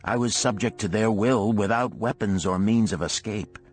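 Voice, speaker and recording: A deep-voiced man narrates an old-fashioned tale in the first person. His delivery is formal and dramatic, and faint music plays behind him. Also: normal speed. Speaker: audiobook narrator.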